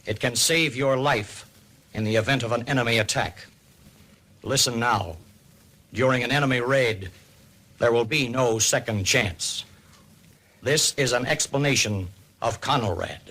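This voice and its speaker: A man with an American accent narrating a 1950s civil defense radio program in a formal, deliberate, authoritative announcer style. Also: mid-range voice, a bit bright, close to an everyday voice.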